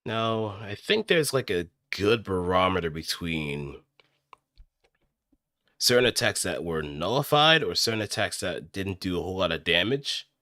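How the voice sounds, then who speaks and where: A man streaming casually, thinking aloud in a halting, musing way as he works. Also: deep voice, animated, bright, forceful tone, no echo, clean studio sound.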